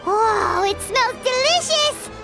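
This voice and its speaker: A tiny, excitable companion creature for a video game. The childlike voice is extremely high and squeaky, lively yet unhurried, with music clearly behind it. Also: female voice, heavily acted. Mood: excitable, curious. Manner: squeaky, exclamatory. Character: Tiny childlike floating companion, excitable, cheeky and always hungry. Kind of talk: cartoonish video game voice acting.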